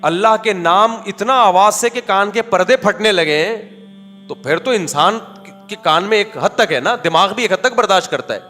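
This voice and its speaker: A man preaching in a conversational, animated way, telling anecdotes with quoted dialogue and rhetorical questions. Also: high voice, normal speed, in a large hall, soft background music. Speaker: Islamic preacher. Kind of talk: conversational religious talk.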